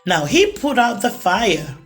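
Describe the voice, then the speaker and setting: A woman reading aloud to children as a storytime host, in an expressive storybook narration style. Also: medium pitch, takes it slowly, over loud music.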